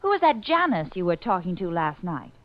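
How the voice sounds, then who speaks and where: A woman's voice: fairly low pitch, very animated, a little fast. A woman playing a flippant, teasing young detective's assistant in an old-time radio drama. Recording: muffled vintage radio recording.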